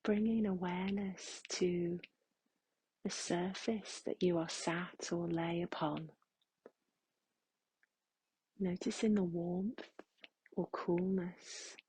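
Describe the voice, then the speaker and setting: A woman guides a meditation in a hushed, very breathy voice with a soft, mellow tone. She speaks very slowly and gently, leaving long pauses between phrases. The sound is dry and free of room echo. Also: on the low side.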